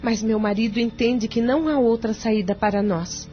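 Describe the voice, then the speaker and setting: High-voiced, anguished wife played by an actress. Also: female voice, steady tone, moderate pace, close to an everyday voice. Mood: sad, resigned. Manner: formal, confessional, emotional delivery. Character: remorseful, anguished wife seeking a divorce. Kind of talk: audio drama acting. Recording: dull lo-fi audio with music behind.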